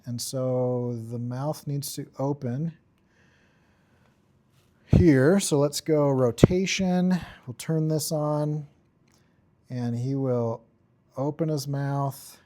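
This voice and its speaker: A man giving an informal software tutorial, thinking aloud in short, offhand fragments as he works. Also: mid-range voice, takes it slowly. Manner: offhand, talks in short fragments while working. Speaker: tutorial instructor.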